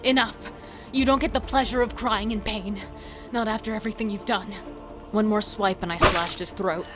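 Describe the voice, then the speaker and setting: A woman's voice: medium pitch, steady tone, moderate pace, loud music behind the voice, natural voice. A woman playing an intense, defiant serial killer devoted to her partner, acting and narrating in a horror audio drama. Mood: furious.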